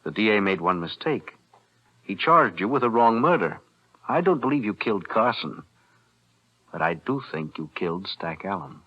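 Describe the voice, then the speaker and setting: An actor playing a shrewd, composed defense attorney, measured and insinuating, in 1940s radio thriller style. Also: male voice, fairly low pitch, big pitch swings. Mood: coolly pressing. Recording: dull vintage radio recording.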